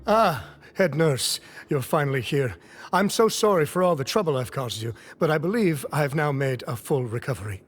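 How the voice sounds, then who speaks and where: A man's voice: fairly high pitch, animated, normal speed, close to an everyday voice. A man voicing a nervous, apologetic young inmate who talks hesitantly with small stammers in a game cutscene. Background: game soundtrack music.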